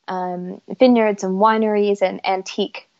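A woman's voice: medium pitch, flat delivery, a little slow, darkish tone. A woman in her thirties, a yacht chief stewardess, speaking in a casual interview with a mixed Canadian and British accent, enthusiastic and full of fillers. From Isle of Man, raised in Canada. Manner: chatty, enthusiastic, many fillers. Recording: slightly dull lo-fi audio.

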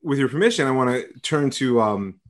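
A man's voice: medium pitch, lively intonation, fairly quick. A man hosting an interview as a rabbi, speaking thoughtfully and effusively, with many fillers and restarts. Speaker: podcast host, rabbi. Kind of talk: conversational interview.

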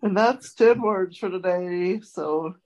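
A woman's voice: lowish voice, slow, a little mellow. A woman co-hosting a casual word quiz, chatting with the others. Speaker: co-host presenting a word segment. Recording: dull lo-fi sound.

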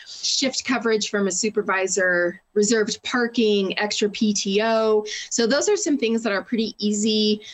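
A woman interview guest who works in public relations, giving practical explanations in an articulate, organized way with lists of examples. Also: mid-range voice.

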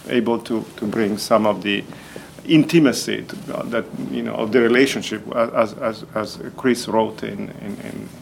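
A man with a fairly low, creaky voice talks as a filmmaker being interviewed. He explains things conversationally and haltingly, often repeating a word before finishing the phrase. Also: steady tone, slight background noise, low-quality recording. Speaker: documentary filmmaker, interview guest.

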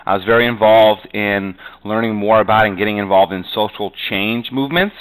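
A middle-aged man from New England with a somewhat low voice. He speaks as a podcast guest, telling his story conversationally, in a rambling way full of verbal padding. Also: fairly even intonation, fairly quick, smooth, low-quality recording. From New England, USA. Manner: rambling, often says "you know". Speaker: podcast guest, real estate agent.

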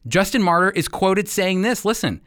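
A man's voice: high voice, normal speed, fairly bright tone, dry recording, clean studio sound. A man hosting a religious radio program, explaining things earnestly in a conversational teaching style. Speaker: radio show host.

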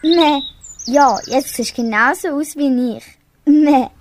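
A young girl with a Swiss German accent playing a kindly big bleating goat in an amateur school radio play. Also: high voice. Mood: friendly. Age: child or young teen. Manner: slow, bleating between phrases. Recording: clear background noise.

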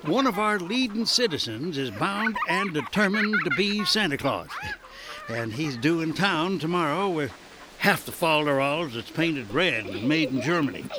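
A man's voice: fairly high pitch, rough recording. An actor playing an exuberant, folksy Old West townsman in a radio drama, with a chuckling, colloquial rustic manner.

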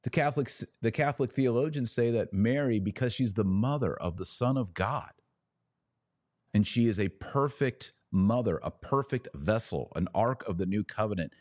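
A man hosting a podcast, giving opinionated religious commentary in an emphatic, declamatory manner with pauses between short phrases. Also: lowish voice, a little mellow, no room sound, studio quality.

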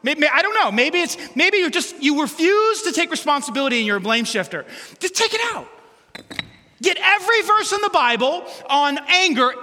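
A middle-aged man preaching in a large church hall, his high, bright voice carrying a long reverb. He tells stories about himself with animated, self-mocking energy and breaks into loud bursts. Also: fairly quick. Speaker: pastor. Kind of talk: sermon.

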